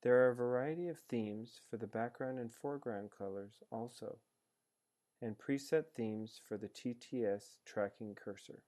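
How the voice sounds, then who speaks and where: A man reading a scripted software tutorial in a measured, explanatory manner as a voice-over. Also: mid-range voice. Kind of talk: scripted instructional narration. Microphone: screencast voice-over.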